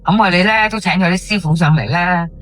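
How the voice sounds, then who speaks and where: 女演員扮演港式口音八卦街坊太太. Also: female voice, on the low side, fairly quick, a bit bright, natural voice. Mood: sorrowful. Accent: Hong Kong Cantonese. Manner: colloquial, exclamatory, gossipy. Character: chatty, dramatic housewife neighbour in old public housing. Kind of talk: podcast audio drama monologue.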